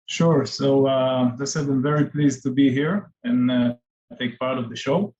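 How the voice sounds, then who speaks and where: A middle-aged man from Israel, an investor answering interview questions with many pauses and fillers. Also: medium pitch, a little mellow, muffled recording. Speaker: venture capital investor guest.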